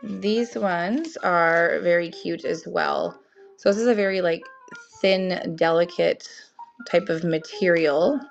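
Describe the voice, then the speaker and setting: A woman's voice: lowish voice, slow, creaky. A young woman with a cold and a tired voice, chatting casually as she runs a live auction and greets viewers by name. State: has a cold, voice wearing thin. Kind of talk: live-stream selling chat. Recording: dull lo-fi stream with faint music.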